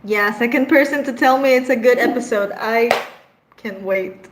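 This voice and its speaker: Young Venezuelan woman, Spanish her first language, higher voice, easygoing streamer banter over a faint background hum. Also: moderate pace. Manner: chatty, laughs often, trails off softly. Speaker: streamer answering live chat. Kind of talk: casual live-stream chat.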